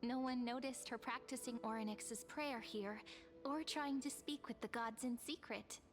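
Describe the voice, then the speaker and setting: A woman playing a gentle, wistful young heroine in a video game, speaking softly and earnestly with measured, dramatic phrasing. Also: on the high side, steady tone, close to an everyday voice. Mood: calm, earnest. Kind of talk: video game story voice acting. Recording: game music behind the voice.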